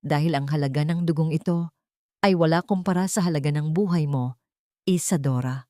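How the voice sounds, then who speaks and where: A woman narrator plays a devoted Filipino billionaire man in an audiobook romance. He is calm, protective and tenderly reassuring. The recording is dry. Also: sits low, fairly quick, no room sound, natural voice. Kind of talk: audiobook character dialogue.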